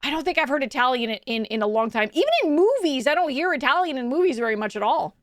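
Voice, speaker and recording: A woman's voice: high voice, animated, quick pace, no echo, studio quality. A young adult woman streaming from home, chatting casually with her chat. She rambles, restarts her sentences often and laughs a lot.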